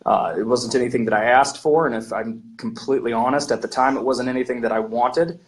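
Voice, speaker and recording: A man's voice: mid-range voice, steady tone, fairly quick. A man with an American accent, a missionary, reflecting earnestly on his past with some hesitations over a video call. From United States.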